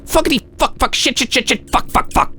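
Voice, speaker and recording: A man's voice: very high-pitched, brisk, pushed and bright, slightly rough, voice pushed up, full character voice. A man voicing a panicky, foul-mouthed story character who talks exclamatorily to himself. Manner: exclamatory self-talk, swearing. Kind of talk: acted story dialogue.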